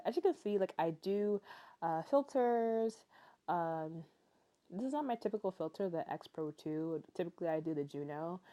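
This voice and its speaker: Woman, twenties, low voice, mellow tone, tired, chatty, rambling vlog voice-over. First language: English. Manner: chatty, rambling, frequent fillers and little laughs. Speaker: vlogger. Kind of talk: casual voice-over narration.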